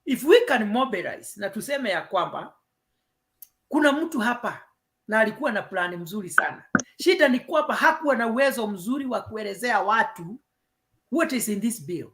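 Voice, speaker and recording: A woman's voice: fairly high pitch, expressive, normal speed, fairly bright tone. A Kenyan woman with a Kenyan accent in an earnest political discussion, mixing English and Swahili. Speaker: panelist.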